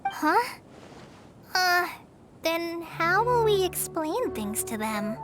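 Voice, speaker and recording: A woman voicing a tiny, excitable, naive and eager fairy companion in a video game. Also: very high-pitched, extremely slow, over music, heavily acted. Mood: worried.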